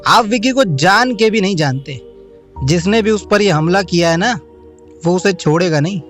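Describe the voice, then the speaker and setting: A man's voice: high-pitched, animated, normal speed, fairly bright tone. A loyal young small-town friend, played by a man whose voice sits well above most men's. He speaks earnestly and respectfully to his elders. Faint music plays behind him. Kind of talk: audio drama acting.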